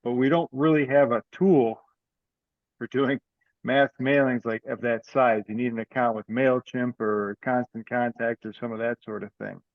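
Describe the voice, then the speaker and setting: A man chairing an informal online meeting, giving updates in a halting way with repeated words. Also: medium pitch, fairly even intonation, dry recording. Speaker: meeting chair of an amateur radio club group. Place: online video call. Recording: slightly dull video-call audio.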